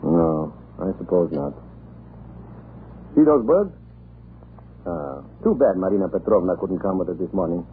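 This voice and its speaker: An actor playing a talkative, irreverent Russian government official in a radio drama, chatty, excitable and theatrical. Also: male voice, low-pitched, unhurried, long pauses. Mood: jovial, chatty. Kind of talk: 1940s radio drama acting. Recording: muffled, noisy old radio recording.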